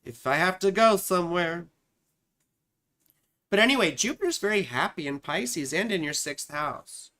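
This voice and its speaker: Live-stream astrologer host, chatty and direct, echoes her own lines, mid-pitched voice. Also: lively intonation, moderate pace, pushed and bright. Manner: chatty, repeats her own phrases, addresses viewers directly. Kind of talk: casual live-stream chart reading.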